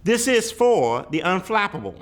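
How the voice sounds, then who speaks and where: A man giving a dramatic read-aloud of a poetic picture book, with deliberate, emphatic phrasing and weighty pauses. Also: high-pitched, very animated. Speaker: reader of a children's picture book.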